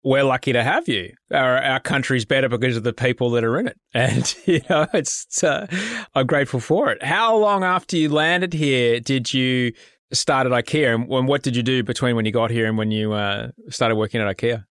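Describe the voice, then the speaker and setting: A man hosts an interview, asking questions with open appreciation for his guest. His voice sits in a middle pitch. The recording is dry and close. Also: moderate pace, a bit bright, no room sound. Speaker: podcast host. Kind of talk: interview conversation.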